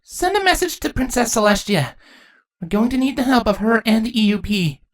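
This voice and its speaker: Woman voicing a very high, anxious young princess. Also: animated, a little fast, close to an everyday voice. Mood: urgent. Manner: urgent, anxious. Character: young changeling princess, earnest, worried daughter of queen.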